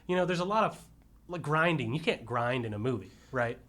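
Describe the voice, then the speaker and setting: A man's voice: mid-range voice, lively intonation, fairly quick, fairly bright tone. A man talking casually about movies as a panelist on a podcast. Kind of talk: casual movie review chat.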